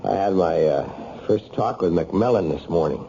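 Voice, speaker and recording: A man's voice: sits low, lively intonation, moderate pace, gravelly, mellow. An actor playing a jailed, embittered but tender husband who swears he is innocent, earnest and plainspoken, in a radio drama. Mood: downcast, bitter. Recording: dull, noisy old radio recording.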